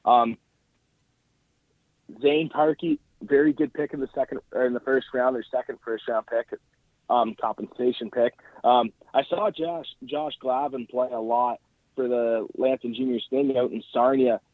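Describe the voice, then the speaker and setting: An Ontario Canadian man talks sports casually as a call-in analyst. His voice is medium in pitch, and he is chatty and enthusiastic, tagging points with "right?". It comes over a dry, thin phone connection. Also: steady tone, measured pace, no echo. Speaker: podcast host calling in as guest analyst. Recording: narrow phone-line call.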